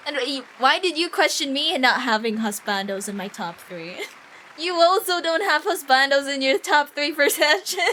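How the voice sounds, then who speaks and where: A woman hosting a casual game livestream, chatting in a giggly, excitable, gushing way with plenty of swearing. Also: high voice, moderate pace, a little hiss or hum. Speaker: livestream host.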